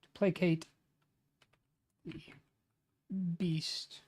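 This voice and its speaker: A man's voice: fairly high pitch, flat delivery, very slow, a little mellow. Young man muttering as he types. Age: 20s. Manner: mutters lines aloud while typing, then casual banter with swearing. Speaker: streamer writing a tabletop campaign. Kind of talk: casual livestream chat.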